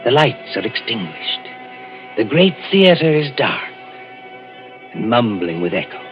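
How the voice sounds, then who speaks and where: An actor playing a grave, dramatic storyteller, narrating a 1940s radio mystery in a portentous, theatrical way. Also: male voice, mid-range voice, sing-song, a little slow. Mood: ominous, grave. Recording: dull vintage radio recording over music.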